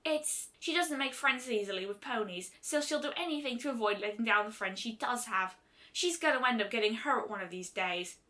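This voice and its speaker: A woman's voice: a little high, lively intonation, fairly quick, bright, forceful tone. A woman voicing a brash, confident young female pegasus pony with a blunt, casual manner, in a story reading. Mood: worried.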